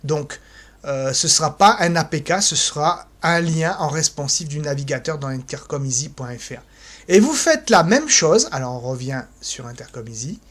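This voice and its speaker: A speaker walks through a step-by-step phone tutorial, hesitant, filling pauses with "alors" and "euh" and mouthing little click sounds like "poc". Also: mid-range voice, animated, a bit bright. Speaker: tutorial presenter for an association.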